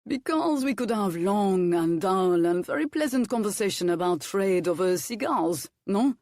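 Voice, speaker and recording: A woman voicing a charming, sly viscount with a mild, smooth manner. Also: mid-range voice, animated, moderate pace, darkish tone, no echo, clean studio sound, lightly acted. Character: charming sly middle-aged French viscount.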